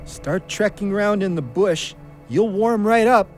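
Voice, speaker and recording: A man's voice: high-pitched, expressive, unhurried, faint music behind. A man playing a joking, loyal hunting buddy who grows wary, in an audio drama. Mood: teasing. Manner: teasing, colloquial.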